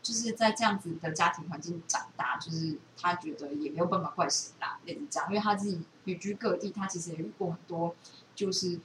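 Fast Taiwanese woman in an echoey room. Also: on the low side. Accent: Taiwanese Mandarin. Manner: rambling, loosely strung storytelling. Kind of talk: casual chat, retelling a book. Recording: echoey room, distant mic, background noise.

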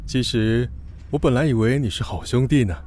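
A man playing a calm, easygoing, helpful businessman in an audio drama, unhurried and drily understated. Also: mid-range voice, lightly acted. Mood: drily amused.

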